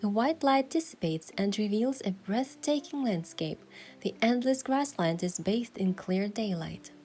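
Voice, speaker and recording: A woman's voice: medium pitch, expressive, unhurried, warm tone, slight background noise, natural voice. Woman acting a wistful young hunter heroine. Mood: reflective, descriptive. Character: young female hunter heroine, earnest, wistful, playfully cheeky. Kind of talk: first-person story narration and game dialogue.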